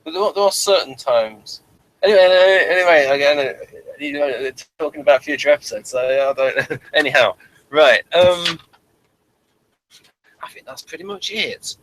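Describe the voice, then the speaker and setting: A man co-hosting a casual, unscripted review chat, repeating words and trailing off mid-thought in a halting way. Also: on the high side, fairly bright tone, poor audio. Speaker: podcast co-host reviewing a TV series.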